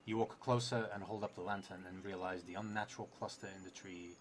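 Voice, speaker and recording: Low-voiced man, noisy stream recording. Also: steady tone, quick pace. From outside England. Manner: hesitant, muttering to himself, thinking aloud. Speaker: solo tabletop roleplay streamer. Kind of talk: live solo game narration, reading prompts aloud with casual asides. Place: indoor room in a theatre, seated at a table. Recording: clearly noisy live stream room.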